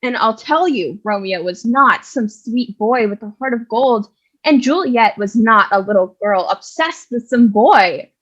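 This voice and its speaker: A woman's voice: a little high, animated, a little slow, highs cut off. A woman playing a brash, sarcastic, scolding nun narrator in a comic stage reading. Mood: scornful. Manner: scolding, sassy. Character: brash, sarcastic nun who takes over storytelling.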